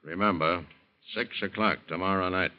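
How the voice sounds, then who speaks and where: An American actor in an old-time radio drama, playing a coldly menacing blackmailer who gives domineering orders. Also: male voice, low-pitched, steady tone, muffled recording, very noisy, lightly acted. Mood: menacing, commanding. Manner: domineering, deliberate threats.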